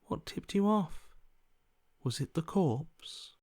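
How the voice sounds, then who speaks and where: A man's voice: on the high side, lively intonation, takes it slowly, a little mellow, no room sound, clean studio sound. A man voicing a cold, amoral voice inside someone's head in a video game, detached, matter-of-fact and dryly sardonic. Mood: dry, coolly amused. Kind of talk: video game character acting.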